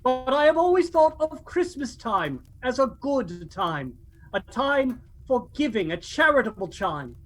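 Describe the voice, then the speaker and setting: An actor playing a cheerful, warm-hearted nephew in a radio play, speaking in an earnest, declamatory way. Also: male voice, very high-pitched, very animated, measured pace, faint music behind, exaggerated voice.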